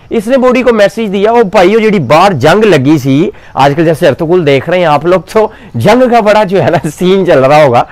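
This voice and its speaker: A man's voice: fairly high pitch, darkish tone, no echo. Young Pakistani man, chatty fitness talk. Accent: Pakistani Punjabi. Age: 20s. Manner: chatty, explanatory, drops into Punjabi phrases. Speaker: fitness coach and bodybuilder. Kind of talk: casual talk to camera. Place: outdoors in front of a brick wall. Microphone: clip-on lapel mic.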